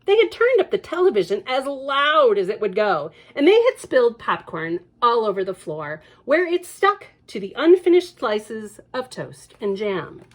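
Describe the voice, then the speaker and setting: Role: children's librarian. Style: storytime read-aloud. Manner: expressive, chatty asides, lively lilting voice, a little high. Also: female voice, normal speed, few pauses.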